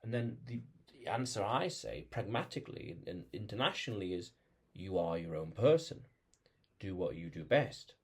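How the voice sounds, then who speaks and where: A man's voice: deep voice, very animated, moderate pace, husky. A man vlogging alone, thinking aloud about identity, with frequent restarts and repeated phrases. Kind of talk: reflective solo monologue.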